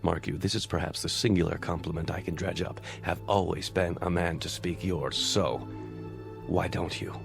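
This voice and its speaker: A man's voice: deep voice, fairly even intonation, normal speed, vocal fry, faint music behind. An actor playing a stern, embittered old businessman in an audio drama, speaking formally with precise, disdainful diction. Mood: contemptuous.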